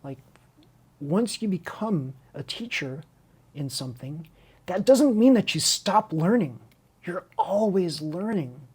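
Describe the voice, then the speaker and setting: Husky woman chatting through a hobby build. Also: lowish voice, lively intonation, takes it slowly, darkish tone. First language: Chinese. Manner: rambling, candid, self-interrupting. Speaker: hobby video host and teacher. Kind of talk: unscripted casual chat over a model car build tutorial.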